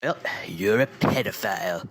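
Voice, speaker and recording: A man's voice: deep voice, lively intonation, unhurried, fairly bright tone. A man voicing a boastful, crass virus president in a cartoon, bragging and taunting with repetitive rally-speech phrasing. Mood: defensive, accusing. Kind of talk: parody of political rally speech. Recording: echoey, very noisy rally sound.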